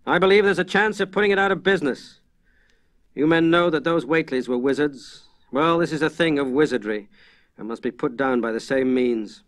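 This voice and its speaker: A man's voice: a little high, fairly quick, voice pushed up, lightly acted. A man voicing a decisive old librarian in a horror audiobook, urgent and reassuring as a leader. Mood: resolute, urgent. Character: resolute old scholarly librarian rallying frightened men.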